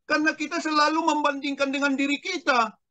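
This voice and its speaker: An older man in a casual panel discussion, reflective and quick to agree. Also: very high voice, little pitch movement, sharp tone.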